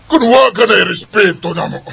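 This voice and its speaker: A man playing a stern shouting father. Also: sits high, brisk, gravelly, a little hiss or hum, exaggerated voice. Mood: angry scolding. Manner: shouting, scolding. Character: stern angry father scolding his son.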